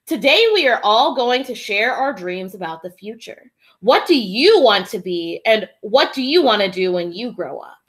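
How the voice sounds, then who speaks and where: A woman's voice: mid-range voice, animated, moderate pace, fairly bright tone, natural voice. A woman voicing a prim female schoolteacher in a storybook, instructing her class with emphasis. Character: prim female schoolteacher, dismissive of a child's dream.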